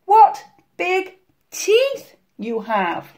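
A woman's voice: very high-pitched, wildly swooping pitch, very slow, darkish tone, pitched above the performer's own voice, full character voice. A woman voicing a sweet, curious little girl from a fairy tale, polite and wide-eyed. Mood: wondering, wary.

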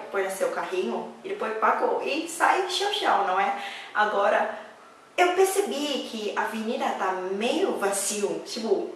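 A young woman with a Thai accent chats to the camera, laughing often as she tells her stories. She sounds distant from the mic in a strongly echoey room. A faint hiss runs underneath. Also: medium pitch. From Thailand. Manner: chatty, laughing often, broken Portuguese. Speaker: vlogger. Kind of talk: casual storytelling to camera.

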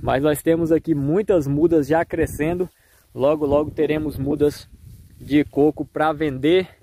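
A man's voice: fairly high pitch, level tone, unhurried, warm tone, a little hiss or hum. A man with a Brazilian accent giving a casual tour of his farm to viewers, recorded outdoors on a handheld phone. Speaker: farmer and video host.

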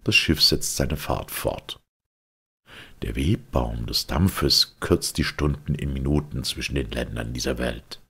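A man narrating a literary story with solemn, theatrical weight and a gravelly, husky voice. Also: rumbling low, a little slow, a bit bright. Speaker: audiobook narrator.

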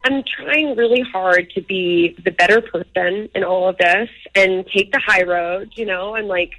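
A woman's voice: mid-range voice, unhurried, fairly bright tone, rough recording. A young adult woman caller telling a personal story casually, peppering it with "like". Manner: conversational, frequent "like".

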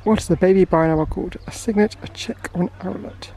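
A man's voice: high voice, a little fast, dark tone, rough recording. A man. He chats casually to a camera held at arm's length while out and about in the open air, as a vlogger does. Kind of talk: casual vlog chat to camera. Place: outdoors at a zoo farm. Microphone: handheld camera at arm's length.

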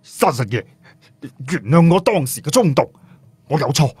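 A man's voice: on the high side, expressive, normal speed, darkish tone, breathy edge, dry recording, soft background music. A man voicing an anxious, superstitious old village chief in a flustered, pleading manner for an audio story. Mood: frightened, pleading.

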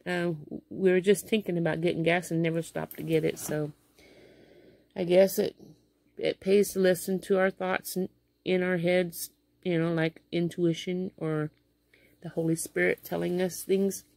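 A woman's voice: on the low side, flat delivery, normal speed, creaky, darkish tone. A woman in her fifties with a Midwestern American accent, telling a rambling everyday story in a home vlog, full of fillers and restarts, filmed on a phone held close in a small bedroom. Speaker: vlogger. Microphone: phone camera held close below face.

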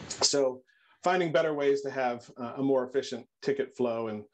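A middle-aged man presents a webinar over a video call. His voice sits at a mid pitch. He often repeats a word or two as he gets a phrase going. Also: normal speed, slight background noise, low-quality recording. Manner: repeats words while starting phrases. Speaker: product marketing presenter.

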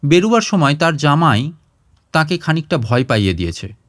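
Male audiobook narrator for literary fiction, fairly high register, widely varied melody. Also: big pitch swings, normal speed, a bit bright. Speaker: story narrator.

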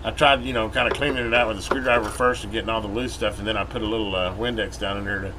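A man's voice: lowish voice, racing. A middle-aged American man giving casual, rambling repair commentary, thinking aloud in a folksy way. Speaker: repair channel host. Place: indoor workbench. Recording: steady room hum, air-conditioner-like noise.

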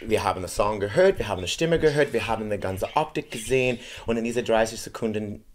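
A man in his thirties, a native English speaker from America, talking casually in an interview in halting German with English words mixed in, animated and gesturing. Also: on the low side, a crowd in the background. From United States. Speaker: guest singer. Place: small indoor room, seated on a sofa.

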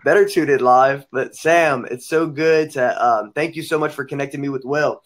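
A man's voice: medium pitch, normal speed. A man of thirty hosting an interview show, chatty and enthusiastic. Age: 30s. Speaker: host. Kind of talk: casual interview chat.

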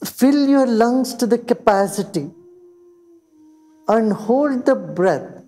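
An older man with an Indian accent leading a guided meditation, speaking slowly and soothingly with long pauses. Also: extremely high pitch, expressive, dark tone. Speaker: meditation teacher. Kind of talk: guided meditation in English and Hindi. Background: background music.

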